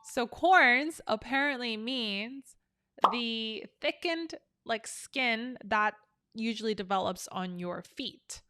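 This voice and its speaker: A woman's voice: fairly high pitch, expressive, slow, sharp tone, soft background music. A young woman from South Korea, teaching an English lesson in a chatty, upbeat way. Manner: chatty and upbeat, explaining with examples. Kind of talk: casual online English lesson.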